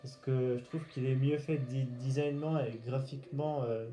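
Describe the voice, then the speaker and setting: A man giving casual gaming commentary in a halting, hesitant way, searching for words. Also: medium pitch, steady tone, mellow. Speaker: gaming video host. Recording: roomy echo, faint background noise.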